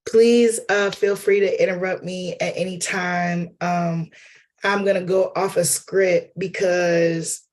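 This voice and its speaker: A man's voice: sits high, flat delivery, slow. A man with a cold, losing his voice, giving a loose, chatty workshop talk as a playwright. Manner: chatty, loose, addresses audience as y'all and honey. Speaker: lecturer and playwright.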